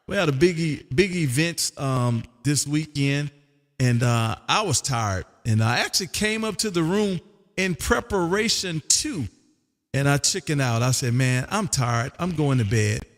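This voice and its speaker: A man's voice: a little high, fairly bright tone, in a large hall. An American man hosting a casual amateur radio chat in a folksy way, often repeating his phrases. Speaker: amateur radio operator and live stream host.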